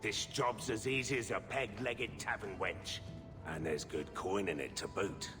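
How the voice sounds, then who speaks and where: An actor playing a wary, grumbling former raider turned merchant in a video game. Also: male voice, on the low side, measured pace, close to an everyday voice. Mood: irritated, earnest. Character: jumpy ex-raider man turned shady merchant. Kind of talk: video game cutscene acting. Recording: long hall reverb, loud music behind.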